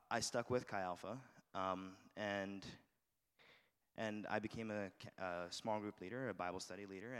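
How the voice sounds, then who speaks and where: A young man from Malaysia who grew up in Shanghai, a student giving an earnest, halting personal testimony to an audience with many restarts. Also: on the low side, a bit bright, smooth. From Malaysia, raised in Shanghai. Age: young adult. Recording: long hall reverb.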